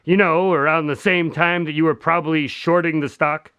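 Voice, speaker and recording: A man acting an easily exasperated detective's sidekick in a modern radio drama, with an expressive, indignant manner. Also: fairly high pitch, normal speed, clean tone, dry recording, clean studio sound.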